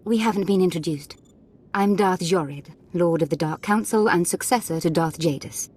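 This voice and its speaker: An actress playing a menacing, playfully sadistic Sith lord in a video game, sweetly mocking and imperious. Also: female voice, lowish voice, moderate pace, a little mellow, breathy edge. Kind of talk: scripted game dialogue.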